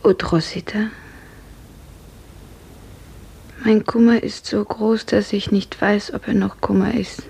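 A woman playing a dreamy, grief-numbed young serf in a 1950s radio drama, hushed, breathy and mournful. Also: medium pitch, level tone, normal speed. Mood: numb sorrow. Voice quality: breathy, half-whispered.

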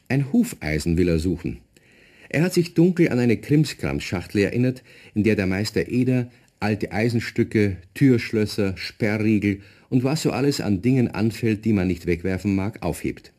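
A storyteller narrates a children's radio play. His voice is low and warm, with expressive rises and falls as he talks conversationally to young listeners. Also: moderate pace. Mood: storytelling.

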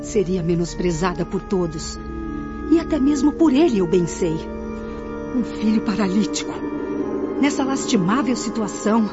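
A woman's voice: mid-range voice, lively intonation, moderate pace, close to an everyday voice. A Brazilian woman acting a proud, distraught young woman in a radio drama, theatrical and pleading. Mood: anguished. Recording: dull lo-fi radio drama, music behind.

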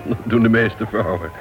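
An actor playing a chatty, genial club member in a Dutch radio play. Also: male voice, on the low side, natural voice. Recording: dull old radio recording.

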